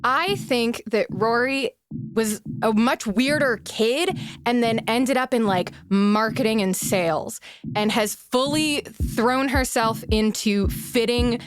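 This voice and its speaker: A woman's voice: fairly high pitch, slow pace, no room sound. A woman talking casually and improvising as a player in a tabletop game, giggly and playfully smug. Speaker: tabletop role-playing player.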